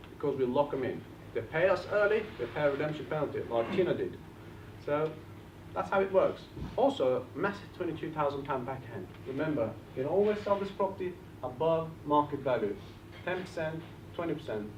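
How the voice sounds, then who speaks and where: A man with a Bulgarian accent presents to a live audience in a slightly high voice, lively and full of direct questions. He is picked up from a distance, so the sound is boomy and reverberant. The recording is a little dull and carries a faint hiss. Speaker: seminar presenter. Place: meeting room with an audience. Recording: echoey room, distant mic, muffled, faint hiss.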